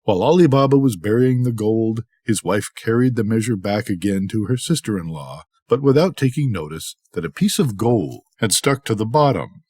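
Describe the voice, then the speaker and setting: An older man reading a scripted bedtime story in an expressive, measured storytelling manner. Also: lowish voice, breathy edge, dry recording, studio quality. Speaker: storybook reader.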